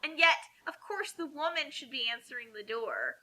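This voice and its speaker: Woman, sharp bright voice, animated intonation, opinionated, sarcastic, casual comic commentary. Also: high voice, normal speed, rough recording. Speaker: co-reader and commentator.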